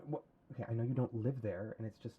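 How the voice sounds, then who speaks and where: Man acting an eager, fast-talking young husband. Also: mid-range voice, fairly even intonation, husky, natural voice. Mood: nervous, pleading. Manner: hesitant, ingratiating, pleading. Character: eager, deferential male radio actor, hopeful young husband. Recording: muffled old studio tape.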